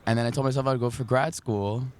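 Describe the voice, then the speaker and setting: A man in his twenties from Miami, a podcast guest chatting outdoors in a laid-back, wry way, often trailing off quietly. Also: medium pitch, steady tone, quick pace, slight background noise. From Miami, Florida. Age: 20s. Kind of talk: casual chat. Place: outdoors in a park.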